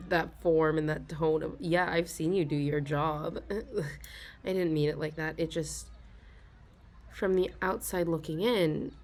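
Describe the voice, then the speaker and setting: A woman's voice: low voice, darkish tone. A woman doing an ASMR role-play as a shy, fond office worker, talking in a hesitant, giggly way that often stalls on her words.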